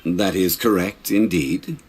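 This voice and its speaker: An actor playing a calm, learned gentleman of quiet authority, measured and formal, in a video game. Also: low voice, a little hiss or hum. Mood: composed.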